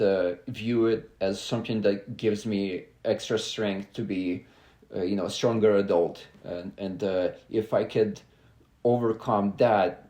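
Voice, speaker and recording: A man from Belarus speaks in a somewhat low voice, pausing often with "uh" as he tells his story openly. His voice carries a noticeable roomy echo. He sounds like a young adult guest on a casual podcast. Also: a little mellow. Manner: halting, many "uh" fillers, earnest and open. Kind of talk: casual interview talk.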